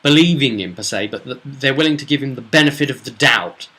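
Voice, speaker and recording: A man's voice: mid-range voice, fairly quick, bright, forceful tone. A man chattily recapping a tabletop role-playing session as game master, telling it in a rambling, casual way.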